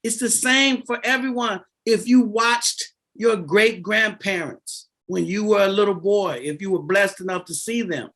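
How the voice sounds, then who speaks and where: A man in his fifties, a guest on a podcast, explaining finance in an animated, emphatic way and repeating his key words. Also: high voice, unhurried, hardly any pauses. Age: 50s. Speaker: guest, insurance and debt author.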